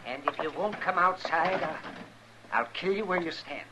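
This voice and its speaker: An actor playing a stubborn, angry town doctor in an old radio western, clipped and intense. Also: male voice, high-pitched, moderate pace, natural voice. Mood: threatening. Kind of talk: old-time radio drama acting. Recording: noisy old radio recording.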